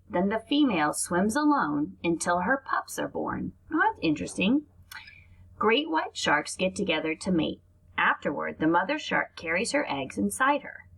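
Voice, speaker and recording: A woman's voice: medium pitch, vocal fry, fairly bright tone, breathy edge, low-quality recording. A woman reading aloud to young children like a teacher, explaining things and adding asides as she goes.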